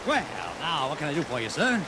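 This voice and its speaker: An actor in a 1950s radio drama playing a brash, fast-talking male ad man with breezy, slangy salesman patter. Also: on the high side, sing-song, heavy background noise. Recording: vintage radio recording under loud rain.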